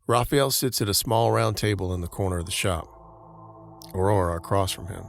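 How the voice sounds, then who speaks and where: A man's voice: deep voice, a little fast, vocal fry. A man giving scripted narration for an audio drama, describing each scene.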